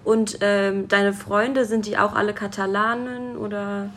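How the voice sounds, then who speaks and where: A woman's voice: mid-range voice, flat delivery, a little slow, fairly bright tone, slight background noise. Young female podcast host, chatty and friendly, recorded at a guest's home. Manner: friendly, many fillers, affirming hums. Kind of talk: casual interview chat. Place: guest's home in a city flat.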